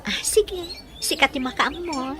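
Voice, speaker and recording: A playful young Ilocano sweetheart, played by a woman, has a high, bright voice. She sounds demure yet teasing, in the style of a radio romance drama. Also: unhurried, slight background noise. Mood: coy, friendly.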